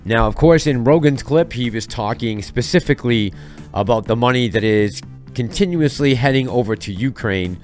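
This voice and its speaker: A man in his thirties rants in a heated, sarcastic tone as the host of his own market commentary channel. His voice sits at an ordinary middle pitch, picked up close on a headset mic. Faint music runs underneath. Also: expressive, normal speed, a little mellow. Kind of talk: fired-up commentary vlog. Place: small home studio with colored lights. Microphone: headset with boom mic.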